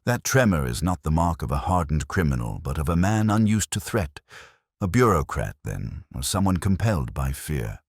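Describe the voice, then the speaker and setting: A man's voice: extremely low pitch, very animated, normal speed, dry recording, clean studio sound, exaggerated voice. A man voicing a brilliant, unyielding Victorian London detective in an audiobook, formal and decisive in his speech. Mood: keen, deducing.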